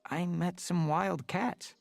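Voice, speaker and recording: A man's voice: high voice, fairly even intonation, takes it slowly, gravelly, dry recording, faint music behind, voice pushed up, exaggerated voice. A man voicing a restless young house tomcat in a storybook reading, speaking in an earnest, firm, determined way.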